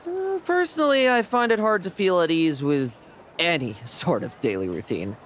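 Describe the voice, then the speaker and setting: An actor playing a reserved young knight back from an expedition in a video game, dry-voiced and given to sighs. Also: male voice, a little high, big pitch swings, normal speed, a bit bright, slight background noise. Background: game music.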